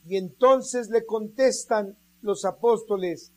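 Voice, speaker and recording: An unhurried radio preacher. The delivery is emphatic and drawn out, and the voice sits in a middle pitch. The sermon leans on rhetorical questions and direct appeals to the listeners. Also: darkish tone.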